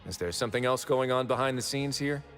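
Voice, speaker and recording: An actor playing a shrewd, composed prison duke in an anime video game, sardonic, unhurried and self-assured. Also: male voice, mid-range voice, over music, natural voice. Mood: musing, probing.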